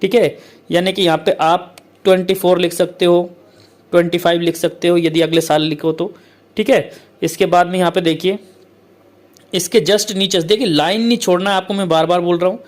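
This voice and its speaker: A male teacher who explains a letter format step by step, repeats points often and reads English lines out slowly. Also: high-pitched, fairly even intonation. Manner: repetitive, keeps saying "okay, friends".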